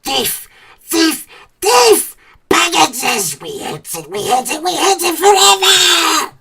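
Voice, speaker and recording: Wretched little cave creature for a storybook, high and raspy, spiteful, repeats itself in bad grammar, voice leaps all over. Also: male voice, very animated, slow, pushed and bright, full character voice. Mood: furious, hateful. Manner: broken grammar, muttering repetitions to itself.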